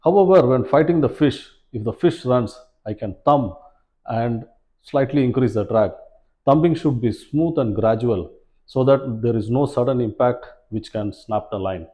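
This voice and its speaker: A man in his forties presenting an instructional fishing demonstration in a measured, step-by-step way, recorded indoors. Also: mid-range voice, mellow. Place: small plain room indoors, seated at a table.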